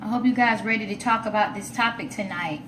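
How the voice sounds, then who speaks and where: A woman's voice: medium pitch, fast talker. A woman hosting a casual live stream, chatting informally to viewers and half to herself as she sets up. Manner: informal, chatty, talking to herself while setting up. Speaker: livestream host. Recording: roomy, distant mic with loud background noise.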